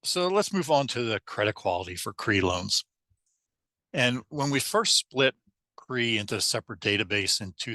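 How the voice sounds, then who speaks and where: A man presenting slides in a webinar, hoarse and losing his voice, halting with restarts and fillers. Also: fairly low pitch, sharp tone, no echo.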